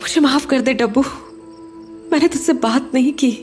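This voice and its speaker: A woman's voice: sits high, normal speed, darkish tone, soft background music, close to an everyday voice. A woman playing a distraught but determined mother in a horror audio drama, earnest, emotional and pleading. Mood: sorrowful apology. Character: distraught determined mother haunted by her lost brother.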